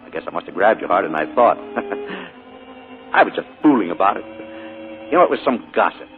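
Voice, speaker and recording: An actor in 1940s radio acting, playing a charming, secretive uncle who turns sharp when crossed, on a tinny old broadcast. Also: male voice, on the low side, very animated, normal speed, music in the background. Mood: soothing. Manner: genial, then sharp and forceful when crossed. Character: smooth, secretive male uncle with a menacing edge.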